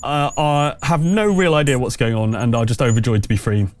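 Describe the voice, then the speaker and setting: A man's voice: medium pitch, expressive, fairly quick, a little mellow, soft background music. Male game master with a hesitant delivery. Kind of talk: improvised tabletop game narration and casual chat.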